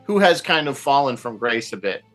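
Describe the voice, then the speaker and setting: Man chatting on a panel, halting and repetitive. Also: mid-range voice, very animated, fairly bright tone, faint music behind. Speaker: panelist in a TV series discussion. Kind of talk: casual roundtable discussion.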